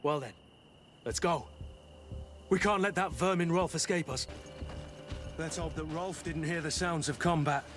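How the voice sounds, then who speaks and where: A man's voice: on the high side, measured pace, long pauses, fairly bright tone, soft background music. An actor playing an honour-bound soldier captain in a video game, protective of his recruits, solemn and intense. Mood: determined.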